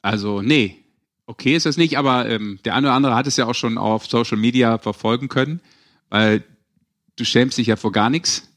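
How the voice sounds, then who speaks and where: A man's voice: medium pitch, normal speed, fairly bright tone. Man, podcast co-host, mid-range voice, ironic, teasing, casual banter. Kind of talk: casual bantering sports chat.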